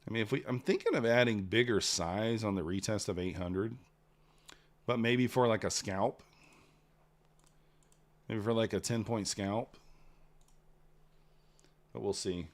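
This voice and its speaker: A man's voice: on the low side, unhurried, long pauses. A man, hosting a live trading stream in casual chat, rambling and replying to chat. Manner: relaxed, rambling, reads chat aloud. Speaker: livestream trader host.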